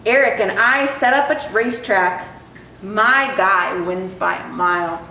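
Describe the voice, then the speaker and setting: A woman reading a children's picture book aloud in an expressive, animated storytelling style. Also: medium pitch, measured pace, gravelly. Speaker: storytime reader. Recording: roomy echo, distant mic, faint noise.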